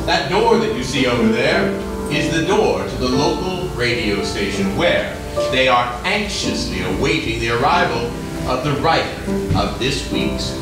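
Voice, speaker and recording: An echoey, distant recording with loud music carries a man acting a folksy male narrator. He tells his nostalgic stage tale wistfully, with swooping pitch and dramatic pauses. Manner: musing, storytelling, with dramatic pauses.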